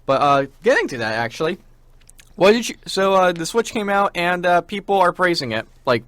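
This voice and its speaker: A young man hosting a college radio show, chatting casually and slangily, with animated storytelling. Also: high voice, a little fast, no room sound. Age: young adult. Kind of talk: casual chat.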